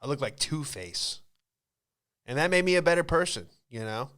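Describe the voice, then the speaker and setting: A man's voice: on the high side, expressive, moderate pace, fairly bright tone. A man in his late twenties with an American accent, a podcast host telling comic stories in a casual, slangy, rambling way. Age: late 20s. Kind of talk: comedic storytelling.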